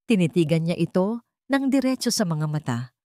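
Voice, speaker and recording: Low-voiced Filipina storyteller, close and dry. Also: female voice, very animated, normal speed, warm tone, no echo. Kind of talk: scripted dramatic narration of a romance story.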